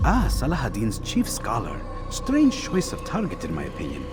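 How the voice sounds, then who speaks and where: An actor playing a sardonic, theatrical bureau keeper in a video game, teasing and touchy, with exaggerated courtesy. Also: male voice, lowish voice, huge pitch swings, normal speed, music in the background. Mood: wry, doubtful. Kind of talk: dramatic video game cutscene acting.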